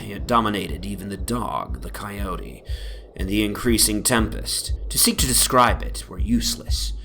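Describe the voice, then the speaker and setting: A man giving a formal, measured, theatrical dramatic reading of a gothic horror story as its narrator. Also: fairly low pitch, gravelly, pushed and bright. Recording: background noise from an ambient soundscape. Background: atmospheric soundscape.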